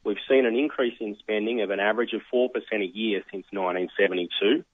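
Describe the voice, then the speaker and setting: Measured Australian man on a phone line. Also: fairly low pitch, no echo. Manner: measured, analytical. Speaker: think tank research fellow, interview guest. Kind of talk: explanatory radio interview. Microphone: telephone. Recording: tinny narrow phone line.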